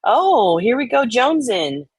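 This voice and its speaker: A woman's voice: fairly high pitch, big pitch swings, measured pace, husky, no room sound. A woman co-host chatting informally and profanely between readings of online comments. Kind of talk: casual banter and dramatic readings.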